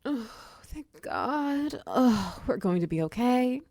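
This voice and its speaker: A hulking female minotaur wizard, played by a woman in an intimate audio roleplay. Her voice is mellow and gravelly with fry, recorded dry and close. She is commanding and teasing, slipping into breathy whispers. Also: a little high, animated, takes it slowly, no room sound, full character voice. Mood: relieved, soothing. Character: hulking muscular female minotaur wizard, bossy, teasing potion-shop owner. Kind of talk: roleplay ASMR audio drama.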